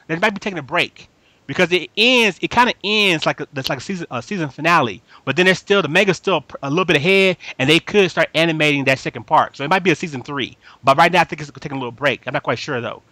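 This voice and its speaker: A man's voice: on the high side, fast talker, sharp tone, no room sound. A man hosting a casual fan chat, talking emphatically in slangy speech, repeating himself and often checking that listeners follow. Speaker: podcast host reviewing anime.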